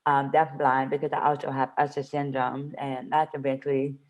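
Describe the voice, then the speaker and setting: Low-voiced woman, emphatic panel speaker. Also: level tone, moderate pace, darkish tone. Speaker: panelist, dancer. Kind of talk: personal introduction on a panel.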